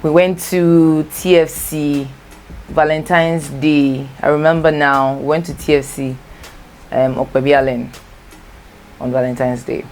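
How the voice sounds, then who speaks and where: A very low-voiced young woman with a Nigerian accent talks through a storytime tag in a loose, rambling way, doubling back to correct herself. Her first language is Igbo. There's a faint hum of room ambience behind her. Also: fairly even intonation, a little slow, darkish tone. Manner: chatty, rambling, self-correcting. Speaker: vlogger. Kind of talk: casual storytime chat. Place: home, natural light.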